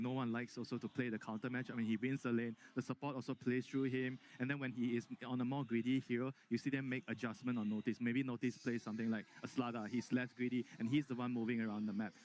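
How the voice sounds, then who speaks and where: A man's voice: medium pitch, slight background noise. A man giving conversational strategy analysis as an esports panel analyst.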